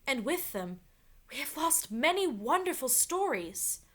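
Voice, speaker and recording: A woman voicing a wise enchantress in a children's storybook, giving instructions in a formal, deliberate, commanding way. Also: high voice, sing-song. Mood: solemn.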